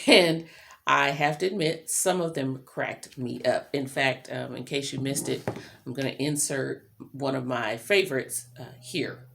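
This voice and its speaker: A woman with a very deep voice and a gravelly edge talks at an unhurried pace. She sounds like a vlogger chatting off the cuff, informal and given to rambling asides. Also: a bit bright. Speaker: vlogger and self-employed writer. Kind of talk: casual chatty vlog monologue.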